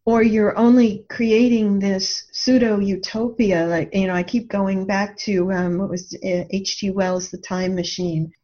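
A woman's voice: mid-range voice, fairly even intonation, a little slow, few pauses, dark tone, highs cut off. A woman speaking reflectively in a discussion, earnest and thoughtful, often posing rhetorical questions. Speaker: radio show co-host and author.

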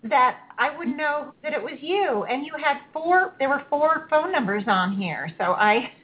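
A woman's voice: sits high, expressive, measured pace, phone-quality sound. A woman hosting a call-in radio show, chatty and stop-start, often laughing.

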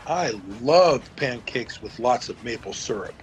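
A man's voice: mid-range voice, lively intonation, a little slow, a little hiss or hum, lightly acted. A man playing an earnest, puzzled boyfriend in an audio fantasy drama. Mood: polite.

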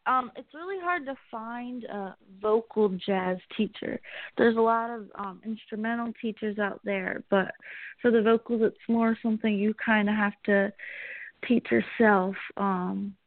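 A young woman singer answering interview questions, pausing often with many ums and small laughs. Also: fairly high pitch, no room sound. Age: young adult. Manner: hesitant, many ums, giggly. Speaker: singer, guest. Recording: tinny phone-like line.

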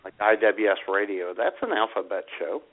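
A man's voice: fairly low pitch, lively intonation, a little fast. An American man co-hosting a radio show in casual comic banter, chipping in with short wry remarks. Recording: phone-like, narrow-band sound.

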